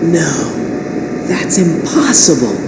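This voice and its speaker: A man's voice: a little high, very animated, slow. A man playing a shocked, disbelieving young hero in a video game cutscene. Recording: echoey game audio.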